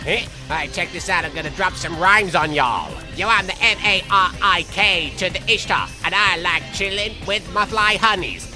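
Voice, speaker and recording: A man's voice: high-pitched, rough recording. A man voicing a silly, self-absorbed young cartoon villain who whines and rambles theatrically through comedic video game commentary, with music behind him. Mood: goofy bragging. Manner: whiny, theatrical, rambling absurd asides. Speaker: in-character let's play host.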